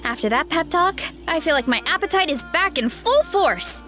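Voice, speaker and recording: A woman's voice: high-pitched, lively intonation, moderate pace, bright, forceful tone, soft background music. A woman voicing an earnest, self-doubting but determined young girl warrior in a video game, with a sincere manner. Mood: grateful, determined. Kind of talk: animated video game voice acting.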